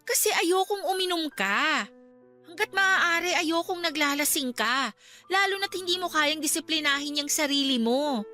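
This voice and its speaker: A woman's voice: sits high, lively intonation, moderate pace, sharp tone. An actress with a Filipino accent playing a worried but firm young girlfriend in a Tagalog radio drama, emotional and protective. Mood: concerned, scolding. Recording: dramatic music bed behind the voice.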